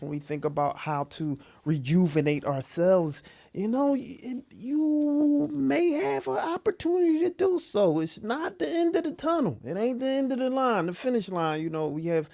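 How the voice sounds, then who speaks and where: A man hosting a radio talk show in a studio, animated and full of slang, repeating himself as he speaks. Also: high voice, moderate pace, gravelly.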